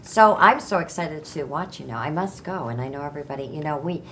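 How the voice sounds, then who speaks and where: A woman's voice: low-pitched, lively intonation, quick pace, rough recording. An older woman hosting an interview, chatty and enthusiastic, talking casually in a studio. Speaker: talk show host. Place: indoor TV studio stage with curtains. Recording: faint background room noise.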